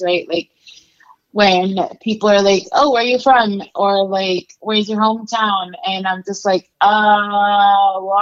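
A woman's voice: medium pitch, fairly bright tone, dull audio. Candid woman telling her story. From Mexico. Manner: candid, conversational, many fillers. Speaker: interview guest. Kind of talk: casual storytelling interview.

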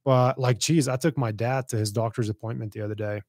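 Blunt, laughing podcast host, dry sound. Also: male voice, medium pitch, fairly even intonation, brisk, a little mellow, no room sound. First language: Arabic. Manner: blunt, sardonic, laughs often. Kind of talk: casual interview chat.

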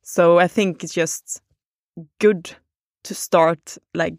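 A woman's voice: fairly low pitch, fairly even intonation, slow pace, no echo, studio quality. A woman, chatting casually on a podcast and thinking aloud with fillers.

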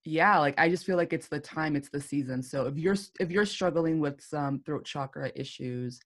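Woman podcast cohost, deep-ish voice, super chatty and playful, says like a lot. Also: moderate pace. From United States. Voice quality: low, deep voice. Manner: playful, chatty, full of "like" fillers. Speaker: podcast co-host. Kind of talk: casual chat.

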